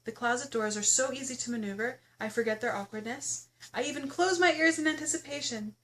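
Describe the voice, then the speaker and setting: A woman reading her own memoir aloud as its author, in expressive first-person narration with a playful, theatrical, exclamatory storytelling manner. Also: high voice.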